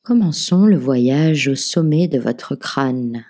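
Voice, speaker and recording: A woman leading a guided relaxation as a meditation teacher, giving gentle, soothing, measured instructions. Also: rumbling low, expressive. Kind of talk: guided yoga nidra relaxation narration.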